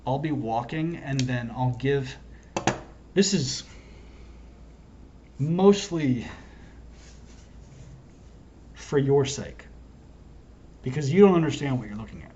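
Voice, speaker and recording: A hoarse man talking to camera in a wandering, self-interrupting, earnest monologue as a self-styled prophet. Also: medium pitch, lively intonation, slow pace, long pauses, dark tone, dull audio, a little hiss or hum. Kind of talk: rambling solo monologue to camera.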